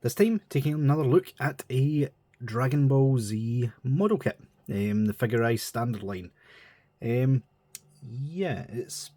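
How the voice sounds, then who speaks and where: A man's voice: medium pitch, warm tone, no room sound. A man with a stuffy nose from a cold, chatting in a rambling, informal way as he reviews a model kit. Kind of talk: casual chat.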